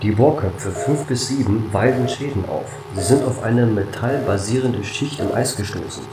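A man voicing a calm, matter-of-fact station computer in a flat, formal way for a sci-fi audio drama. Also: on the low side, moderate pace, echoey room, far from the mic, background noise, natural voice. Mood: factual.

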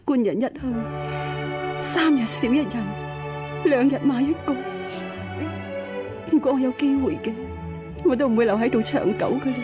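An actress playing a kind, wistful fellow wife in a radio drama, gentle and consoling. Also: female voice, high-pitched, normal speed, pauses often, music in the background, lightly acted. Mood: sad, consoling.

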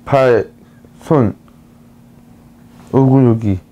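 Halting young man, mellow tone. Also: mid-range voice. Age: 20s. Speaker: ceramics student.

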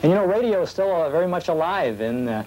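A man in his thirties chatting casually in an interview, halting and a little self-deprecating. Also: a little high, animated, warm tone. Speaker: old radio program collector being interviewed. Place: small office, indoors. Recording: slightly noisy old videotape.

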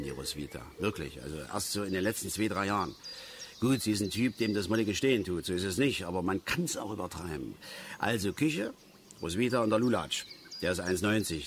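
A man's voice: sits low, a little hiss or hum. An actor playing an embittered, touchy middle-aged male angler who rambles and grumbles irritably in a radio play. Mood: resigned, bitter. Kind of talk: German radio play acting.

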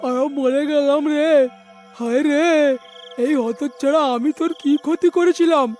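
A man's voice: extremely high pitch, moderate pace, a little mellow, soft background music. A man voicing a flustered, plaintive village policeman in a cartoon, exclaiming in an overwrought way. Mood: panicked, whining.

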